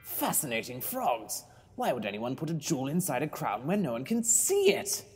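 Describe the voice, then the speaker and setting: A man playing an excitable, kindly, quaint museum caretaker in a children's audio drama, exclaiming theatrically with quirky oaths. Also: mid-range voice, normal speed, slightly rough, big-room sound, faint music behind, full character voice. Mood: puzzled.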